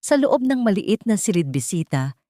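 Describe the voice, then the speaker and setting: A woman reading a scripted dramatic audio story as narrator, in a measured, emotive, literary way. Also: medium pitch, sing-song, warm tone, no echo, studio quality.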